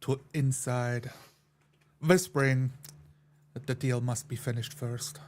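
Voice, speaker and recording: Slow, even-toned male roleplayer. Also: mid-range voice. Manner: measured, pausing between phrases. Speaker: tabletop game player. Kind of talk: third-person roleplay narration.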